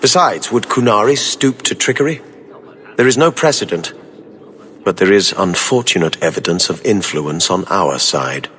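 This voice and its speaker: An actor playing a stiff, disdainful government official in a video game, speaking formally and precisely. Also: male voice, sits low, expressive, normal speed, fairly bright tone, in a large hall. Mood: guarded, disdainful.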